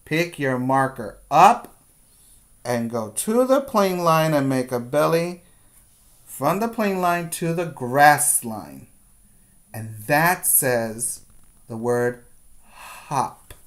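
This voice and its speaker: Slow-paced middle-aged man teaching young children. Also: fairly high pitch, lively intonation, a bit bright. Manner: deliberate, repetitive step-by-step instruction. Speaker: preschool teacher. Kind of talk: instructional video lesson for young children. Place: small room indoors. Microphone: webcam at desk distance.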